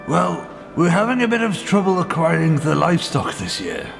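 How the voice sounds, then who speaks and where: An actor playing a courteous, hesitant church helper in a radio play, halting and deferential. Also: male voice, on the high side, huge pitch swings, gravelly, over music, heavily acted. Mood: hesitant, troubled. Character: hesitant, courteous, troubled father helping at church.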